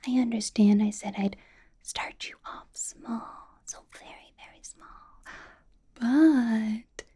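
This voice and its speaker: A woman playing a possessive, sweetly menacing female vampire boss in a soft, whispered ASMR roleplay. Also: a little high, husky, heavily acted. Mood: coaxing, controlling. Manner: slow, coaxing, condescending endearments.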